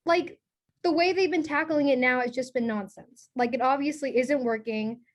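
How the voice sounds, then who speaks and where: A woman's voice: high-pitched, moderate pace, lightly acted. A woman acting in a documentary play as a frustrated, outspoken critic in a group discussion. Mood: frustrated, insistent.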